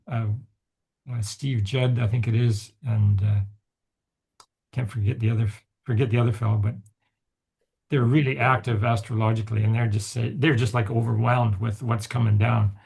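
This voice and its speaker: A man with a raspy voice who hosts a group video call. He rambles casually, restarting often. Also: medium pitch, darkish tone. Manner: rambling, with many restarts and chuckles. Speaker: host and mythologist.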